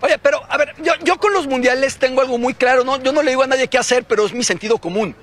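Measured man analyzing football. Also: very high-pitched, a bit bright. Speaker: sports analyst. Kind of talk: panel discussion.

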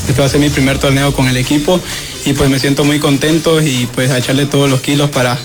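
A young man from Honduras, speaking modestly and a little haltingly as a guest in a casual radio interview. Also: medium pitch, flat delivery. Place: radio studio booth. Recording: clear music playing behind the voice. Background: music.

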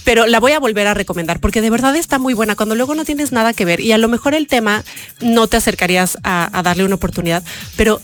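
A woman co-hosting a radio show, chatting casually and warmly recommending series, often repeating words as she searches for them. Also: fairly high pitch, quick pace, soft background music. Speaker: radio co-host.

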